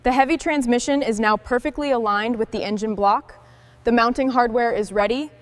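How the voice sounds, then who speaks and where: A woman with a somewhat high-pitched voice reads scripted narration. She presents mechanical restoration work in short, simple statements. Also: a little fast, a little hiss or hum.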